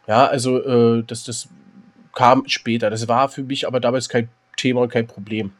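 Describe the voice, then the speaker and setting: A man co-hosting a casual comedy podcast, joking and animated, often restarting his sentences. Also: medium pitch, moderate pace. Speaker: podcast co-host.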